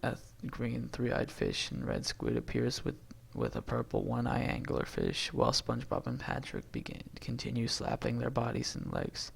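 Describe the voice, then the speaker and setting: Husky, gravelly man reading with wildly swinging pitch. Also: huge pitch swings, moderate pace. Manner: stumbles and corrects himself, breaking off into asides. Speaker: amateur reader doing a long script read-aloud. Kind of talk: reading a film script aloud, stage directions and dialogue.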